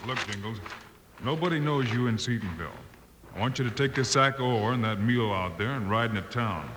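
A man playing a steady, commanding western marshal in an old radio drama, clipped and plain-spoken. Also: mid-range voice, a little fast, natural voice. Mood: instructing. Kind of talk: 1950s radio western acting. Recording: noisy old radio recording.

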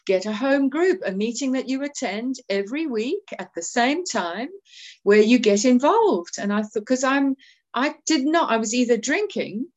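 A middle-aged woman from South Africa with a South African accent, sharing her recovery story in a heartfelt, halting way. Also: a little high. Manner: heartfelt, halting, many restarts. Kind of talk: personal testimony at a recovery meeting.

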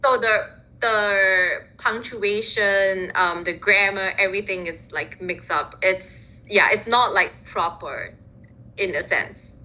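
Bright Singaporean young woman, lo-fi. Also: medium pitch, takes it slowly. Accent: Singaporean English. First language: Mandarin Chinese. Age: young adult. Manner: hesitant, many fillers and restarts. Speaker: interview guest, student. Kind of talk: casual conversation. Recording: muffled lo-fi sound.

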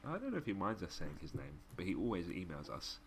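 Deep-voiced man, joking podcast co-host. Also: fast, gravelly, slight background noise. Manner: joking and laughing often, relaxed slangy talk. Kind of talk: casual chat, reading listener messages aloud.

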